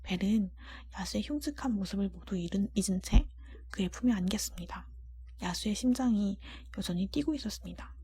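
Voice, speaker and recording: A woman narrates a storybook in ASMR style. Her voice is an extremely breathy whisper with a mellow, soft tone, at a medium pitch for a woman. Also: lively intonation, brisk. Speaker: storyteller reading aloud. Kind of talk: ASMR book reading, scripted narration.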